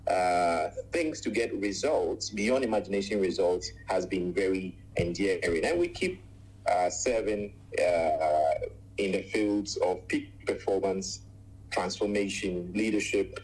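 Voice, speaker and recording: A man in his forties from Ghana, a radio guest telling his life story in a measured way, with many pauses and long lists. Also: on the low side, darkish tone, breathy edge, poor audio. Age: 40s. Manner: measured, frequent "uh" pauses, lists things at length.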